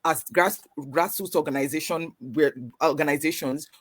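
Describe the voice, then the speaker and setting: A man's voice: fairly high pitch, steady tone, normal speed. A man speaking on a virtual panel about his organization's community work, earnest and full of restarts. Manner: earnest, many fillers and restarts. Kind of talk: panel conversation answer.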